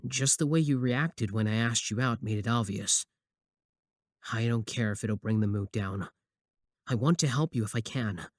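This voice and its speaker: A man's voice: on the low side, moderate pace, dry recording, clean studio sound, natural voice. A young man acting a possessive, obsessive student admirer in a scripted ASMR roleplay, sweet yet menacing. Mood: tender, concerned.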